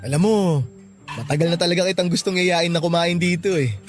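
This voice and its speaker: A man plays a devoted young boyfriend in a radio-style drama. His voice sits a little high, earnest and emotive, with frustration under the affection. Faint music runs behind him. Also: brisk, close to an everyday voice. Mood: playful, affectionate.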